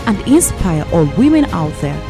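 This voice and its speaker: A woman's voice: on the low side, big pitch swings, moderate pace, creaky. A woman announcer reading a scripted show promo in a declamatory voice-over. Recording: voice-over with music.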